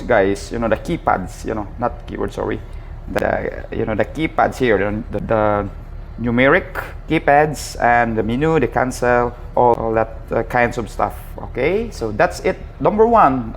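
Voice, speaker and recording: A man with a Filipino accent giving an informal hands-on equipment tutorial, speaking haltingly and repeating himself as he addresses viewers casually. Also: mid-range voice. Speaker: seaman presenting a tutorial. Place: ship's bridge. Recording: clear ambient background noise.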